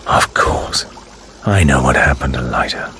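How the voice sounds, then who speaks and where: An actor playing a cool, determined secret agent in a radio drama, muttering in short clipped phrases. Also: male voice, very deep voice, lively intonation, measured pace, bright, forceful tone, a little hiss or hum, exaggerated voice. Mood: grim realization.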